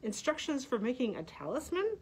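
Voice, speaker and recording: A woman's voice: sits high, sing-song, brisk, a little hiss or hum. A middle-aged woman, a librarian reading book blurbs with casual asides, slightly muffled by a face mask. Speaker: librarian presenting books. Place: library room with bookshelves.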